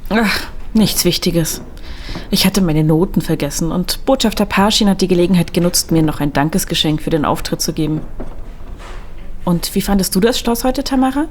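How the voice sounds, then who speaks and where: An actress playing a wry, affectionate woman musician with a husky voice and soft, dryly teasing delivery in a naturalistic audio drama. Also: on the low side, expressive, normal speed, a little hiss or hum, natural voice. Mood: polite, evasive.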